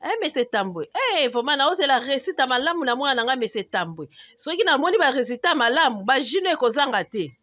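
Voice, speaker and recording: A woman with a Congolese accent playing an indignant, scolding market-trader mother in an animated comedy. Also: high voice, brisk, dry recording, highs cut off. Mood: expectant.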